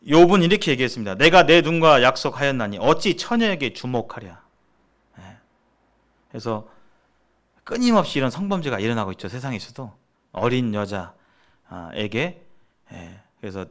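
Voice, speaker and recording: Man preaching with very animated pitch swings. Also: moderate pace, pauses often. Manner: emphatic, repeats phrases for stress. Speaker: preacher leading a church seminar. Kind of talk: sermon-like seminar lecture.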